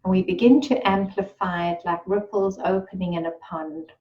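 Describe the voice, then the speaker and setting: A woman leading a guided meditation in a gentle, soothing, unhurried way. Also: on the low side, steady tone, lo-fi sound. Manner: slow, soothing and unhurried, with long pauses.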